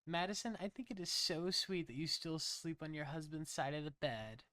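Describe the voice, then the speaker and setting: Man putting on a high, sugary woman coworker. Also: moderate pace, bright, forceful tone, no echo. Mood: syrupy sweet. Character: perky, syrupy woman coworker, sweetly menacing.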